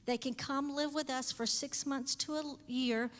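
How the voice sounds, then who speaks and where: A woman's voice: high voice, unhurried. A woman in her fifties giving an earnest missions presentation to a church. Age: 50s. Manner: earnest, explanatory, steady. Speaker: missionary speaker. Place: church sanctuary. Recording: long church hall reverb.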